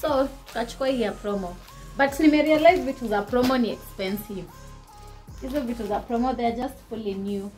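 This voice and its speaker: A woman's voice: a little high, fairly quick, a little mellow, faint music behind. A young woman in her twenties with a Kenyan accent, chatting casually about her shopping haul as she holds up each item to the camera in her living room. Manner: chatty, code-switching, shows items to camera. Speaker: vlogger.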